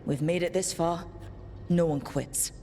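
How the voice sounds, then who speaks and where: A woman's voice: fairly low pitch, measured pace, mellow, a little hiss or hum. An actress playing a determined young woman who leads a fantasy hero team in a video game, decisive and rallying, with music behind her.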